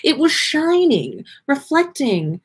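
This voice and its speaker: A woman voicing a dreamy, melodramatic female fortune-teller from a story, easily flustered, with halting exclamations and trailing pauses. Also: sits high, huge pitch swings, voice pushed up, full character voice. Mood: entranced, confused.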